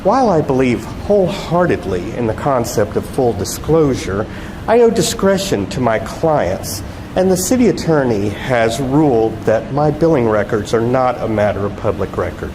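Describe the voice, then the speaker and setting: A man's voice: mid-range voice, very animated, moderate pace, natural voice. A man reading the part of a guarded, acidly polite woman lawyer and politician in a novel. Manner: clipped, formal, acidly polite. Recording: echoey hall, loud background noise.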